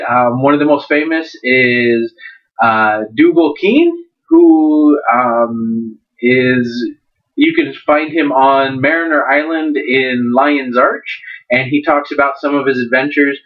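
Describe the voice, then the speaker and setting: A man talks casually as a reviewer in a slightly dull, lo-fi recording. His delivery is halting and conversational, as he searches for the next word. His pitch is ordinary for a man. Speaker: video host and book reviewer. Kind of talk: casual review talk with dramatic book readings.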